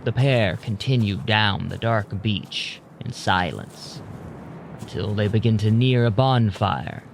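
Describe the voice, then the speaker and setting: A man narrating a scripted audio drama in a measured, deliberate way. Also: on the low side, creaky, a little hiss or hum. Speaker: narrator, storyteller.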